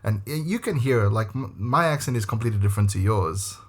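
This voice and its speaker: A man's voice: fairly low pitch, lively intonation, quick pace, slightly rough. A man from South Africa whose accent mixes South African and American, talking in an easygoing way as a podcast guest. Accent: South African mixed with American. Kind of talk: casual conversation.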